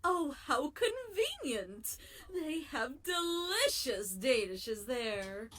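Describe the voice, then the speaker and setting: A woman playing a chipper, theatrical and sweetly polite female ruler in an animated audio drama. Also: high voice, extremely slow, a little hiss or hum, heavily acted. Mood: gushing, sly. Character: chipper, gushing female ruler of chaos city and her clones.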